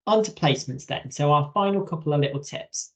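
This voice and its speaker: Gravelly man giving webinar tips. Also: on the high side, brisk. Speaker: software trainer presenting tips. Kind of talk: webinar presentation, conversational instruction. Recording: dull lo-fi webinar audio.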